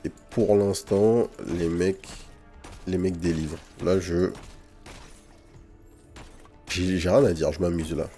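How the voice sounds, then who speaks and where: Deep-voiced man doing casual game commentary. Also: fairly even intonation, takes it slowly, long pauses, mellow. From French Guiana. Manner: informal slang sprinkled with English gaming terms. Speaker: gaming channel host. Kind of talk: casual gameplay commentary with first impressions. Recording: music behind the voice. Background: game music.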